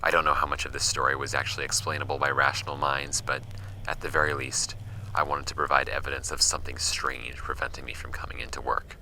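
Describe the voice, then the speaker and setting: Horror audio drama archivist: rock-bottom pitch, gravelly fry, brooding, deliberate, reading strange case files. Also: male voice, level tone, bright, forceful tone, a little hiss or hum, full character voice, poor audio. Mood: weary, resolute. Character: male paranormal case archivist, musing over strange files.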